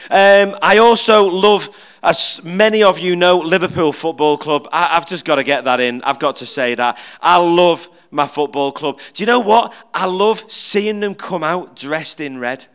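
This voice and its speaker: A middle-aged preacher with a high, notably clear and smooth voice. He talks to his church in a loose, jokey way, stumbling over repeated words and drawing the congregation in with questions. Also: a little slow, fairly bright tone. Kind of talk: informal humorous sermon. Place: church, speaking at the front.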